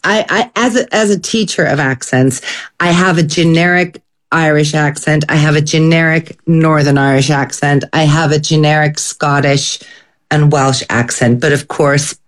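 A woman's voice: sits low, normal speed. A woman who works as an accent coach, talking casually and rambling, with lots of fillers. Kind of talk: casual conversation.

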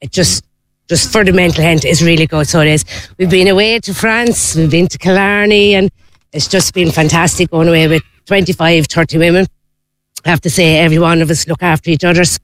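A woman's voice: on the low side, steady tone, fairly quick. A woman with an Irish accent, a mother who plays on the team, talking with chatty enthusiasm. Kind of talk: casual interview.

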